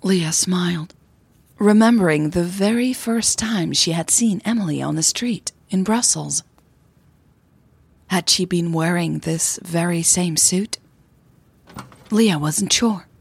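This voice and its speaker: A woman podcast host narrating an audio drama in a soft, unhurried, breathy way. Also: lowish voice, no room sound. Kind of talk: audio drama storytelling with informal podcast intro.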